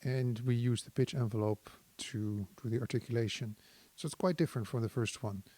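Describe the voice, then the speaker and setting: A man giving a casual technical tutorial, thinking aloud in a hesitant, halting, analytical way. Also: mid-range voice, steady tone, background noise. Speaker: synthesizer demonstrator.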